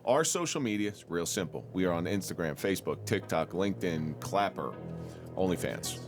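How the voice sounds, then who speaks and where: A man's voice: low-pitched, animated, normal speed, music in the background. A man with a New Jersey accent hosting a podcast, casual and profane, laughing as he wraps up the show. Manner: swearing, laughing, joking outro. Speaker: podcast host.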